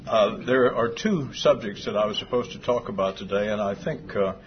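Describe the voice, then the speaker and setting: An older man from Texas giving an after-lunch talk, soft-voiced, with dry humor and many pauses. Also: fairly low pitch. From Fort Worth, Texas. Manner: dry humor, hesitant with fillers. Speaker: guest speaker. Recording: dull lo-fi sound with clear background noise.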